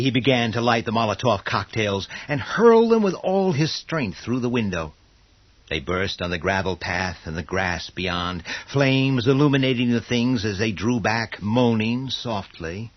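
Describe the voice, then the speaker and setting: A man with a husky voice narrating a scripted horror story for an audio play. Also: on the low side, dry recording. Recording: very dry, dull lo-fi sound.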